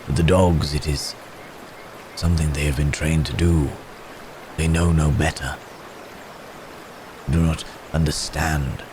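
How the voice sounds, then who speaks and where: A man voicing a gentle, remorseful wounded werewolf in an intimate ASMR roleplay, speaking haltingly and formally, as if in pain. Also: very deep voice, little pitch movement, noisy background. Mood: haunted, remorseful. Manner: halting, hesitant, soft-mannered storytelling with formal wording. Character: wounded male werewolf, gentle, remorseful, recounting his escape.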